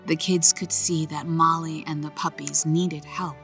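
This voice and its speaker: A woman reading a children's story aloud in a slow, gentle storytelling manner. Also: deep voice, flat delivery, faint music behind. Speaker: narrator. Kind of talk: children's read-aloud narration.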